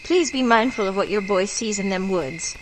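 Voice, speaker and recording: A woman's voice: medium pitch, normal speed, natural voice. A woman playing a somber, secretive bayou neighbor who gives grave, folksy warnings in a horror radio drama. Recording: clear background noise of night insects. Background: crickets and frogs at night.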